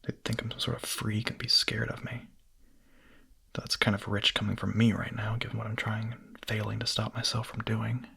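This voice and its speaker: A man playing a hungry, nervous, lovestruck young vampire in an ASMR roleplay, speaking in a breathy, husky, hesitant whisper. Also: on the low side, monotone, bright, forceful tone, exaggerated voice. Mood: furtive, yearning. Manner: hesitant, halting, confessional.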